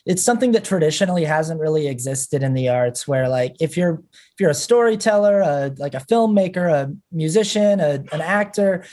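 A man's voice: a little high, animated, moderate pace, a little mellow. A man in his thirties, a musician talking casually on a podcast, rambling with lots of fillers. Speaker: musician guest.

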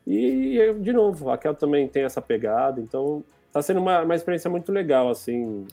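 A man, hosting a casual interview in a relaxed, rambling way, full of fillers. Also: fairly high pitch, brisk, creaky, faint music behind. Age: 30s. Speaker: interviewer, father.

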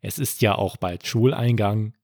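A man voicing a caring, gently teasing mother who reassures her young son in a bedtime story. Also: fairly low pitch, a little slow, no echo, clean studio sound. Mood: reassuring.

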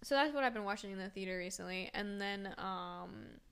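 A woman's voice: mid-range voice, normal speed, creaky, a bit bright, clear, resonant tone. A young woman talking casually to camera with a stuffy, congested nose, rambling and filling her talk with "like". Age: young adult. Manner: rambling, full of "like", laughs often. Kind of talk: casual vlog chat.

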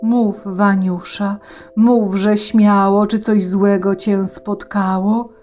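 A woman voicing a small magic humpbacked horse who asks gently and with concern. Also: mid-range voice, flat delivery, slow, soft background music, close to an everyday voice.

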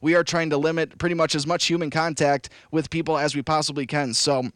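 Hoarse, raspy radio host. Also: male voice, on the high side, little pitch movement, a bit bright, no echo, studio quality. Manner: upbeat, repeats phone numbers slowly. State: hoarse, lost voice. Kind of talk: local radio call-in show hosting. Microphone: studio broadcast mic.

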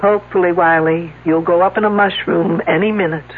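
A woman's voice: fairly low pitch, fairly even intonation, fairly quick, slightly rough, phone-quality sound. A woman playing a wry, unflappable, wisecracking lady detective in a 1970s radio drama. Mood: sardonic. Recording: thin band-limited old radio, noisy.

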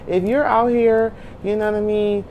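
A woman's voice: mid-range voice, steady tone, normal speed, mellow, a little hiss or hum. A woman in her thirties co-hosting a podcast, talking emphatically and repeating her lines for weight. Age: 30s. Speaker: podcast co-host. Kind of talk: casual chat.

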